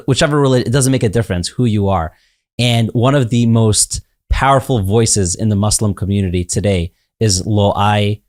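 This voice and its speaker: A man's voice: lowish voice, a little mellow, no room sound, clean studio sound. Earnest man hosting a podcast. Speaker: podcast host. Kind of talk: interview podcast.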